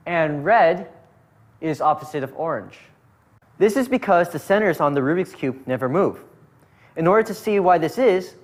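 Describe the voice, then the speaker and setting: High-voiced man teaching step by step. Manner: patient, methodical explaining. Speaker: instructor. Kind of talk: step-by-step tutorial narration.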